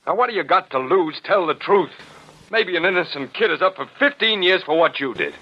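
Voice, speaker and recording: Dogged reporter, muffled old radio. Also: male voice, high voice, animated, brisk. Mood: urgent, insistent. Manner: insistent, blunt questioning. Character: dogged, hard-nosed male newspaper reporter pressing for truth. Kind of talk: 1940s radio drama acting. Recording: dull old 1940s radio recording.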